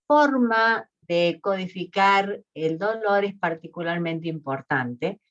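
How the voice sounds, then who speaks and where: Argentine woman, researcher, measured lecture, frequent hesitations, muffled lo-fi sound. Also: lowish voice, lively intonation. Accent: Argentine Spanish. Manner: slow and deliberate, with many hesitations. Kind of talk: online lecture.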